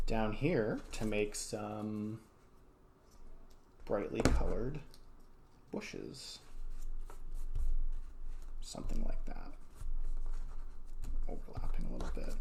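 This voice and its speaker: Easygoing male art teacher, noisy recording. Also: fairly low pitch. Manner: encouraging, easygoing. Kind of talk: casual craft demonstration to camera. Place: home studio. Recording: clear background room noise.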